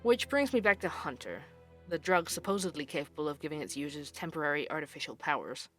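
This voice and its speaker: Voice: mid-range voice, expressive, fast, a bit bright, close to an everyday voice. Wry investigative radio host for audio drama, acted, sardonic and conversational, music underneath. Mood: measured. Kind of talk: audio drama radio show monologue and interview.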